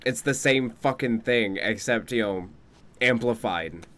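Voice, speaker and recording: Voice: medium pitch, a little slow, a bit bright. A game streamer giving casual live commentary in a laid-back way, with muttered asides and swearing.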